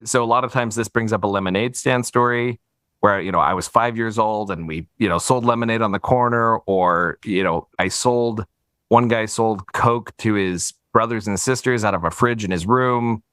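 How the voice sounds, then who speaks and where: A man's voice: on the low side, moderate pace, a bit bright, dry recording, clean studio sound. A man hosting a podcast interview, upbeat and thinking aloud, with easy laughs. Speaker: podcast host. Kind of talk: casual interview.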